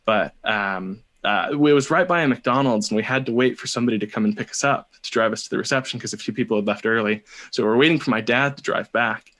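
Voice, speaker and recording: A young American man telling a personal story casually as a podcast guest, in a hesitant, halting way. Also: mid-range voice, fairly bright tone. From United States, California.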